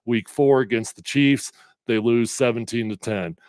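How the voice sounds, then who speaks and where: A man's voice: medium pitch, normal speed, dry recording. Middle-aged guy hosting sports talk, bit congested, dry close sound, fired up. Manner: energetic, conversational, emphatic with fillers. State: a little congested. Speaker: sports radio host previewing a game. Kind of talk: casual solo sports talk with live comments.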